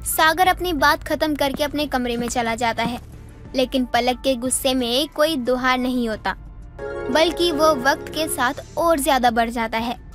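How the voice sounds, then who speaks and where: A woman's voice: high-pitched, measured pace, a bit bright, soft background music. A woman narrating a scripted moral story.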